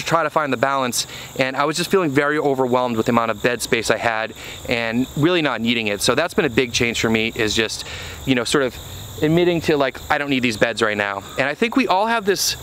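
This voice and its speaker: A man's voice: mid-range voice, fairly bright tone, slight background noise, poor audio. Thirties male farmer vlogger, casual to-camera talk, plenty of "you know", faint field ambience. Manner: earnest, conversational, many "you know" fillers. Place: outdoors on a small farm field. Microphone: camera mic at arm's length, then on a tripod.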